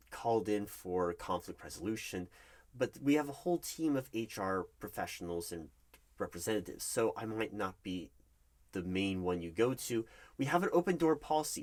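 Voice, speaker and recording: Man acting a nervous, low-voiced male recruiter. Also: lightly acted. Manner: hesitant, stammering, diplomatic. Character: nervous, polite male recruitment manager, flustered by flattery. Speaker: job interviewer. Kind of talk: one-sided ASMR roleplay interview. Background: computer keyboard typing.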